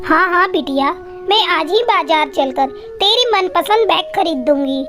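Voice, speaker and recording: A woman voicing a gentle, devoted female bird in a cartoon moral story, speaking in an earnest, emotive way. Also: very high voice, lively intonation, unhurried, exaggerated voice. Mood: humble, grateful. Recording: music behind the voice.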